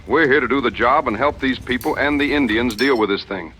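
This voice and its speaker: A man playing a seasoned, calm frontier hunter in an audio drama, measured, plain-spoken and determined. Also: medium pitch, slight background noise, close to an everyday voice, rough recording. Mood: grave, resolute.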